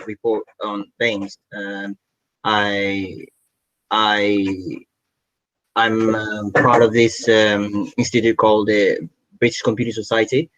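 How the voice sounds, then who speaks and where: A man asking a long question in an online workshop, halting, with many restarts and fillers. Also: fairly low pitch, a bit bright. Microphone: video call. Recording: dull, dry video-call audio.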